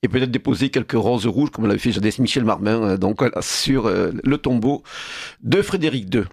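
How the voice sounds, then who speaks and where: A man with a Languedoc French accent hosting a radio talk show in a studio, speaking in a measured, hesitant way with many fillers. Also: mid-range voice, expressive, gravelly, a little mellow, husky, no echo. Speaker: radio host. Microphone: studio microphone.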